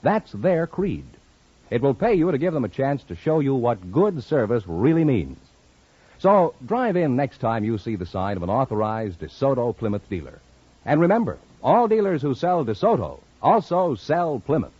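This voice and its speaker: A man's voice: mid-range voice, very animated, moderate pace, warm tone, breathy edge, dry recording. An American man announcing on 1950s radio in a polished, earnest, scripted salesman's delivery. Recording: dull, lo-fi 1950 radio recording.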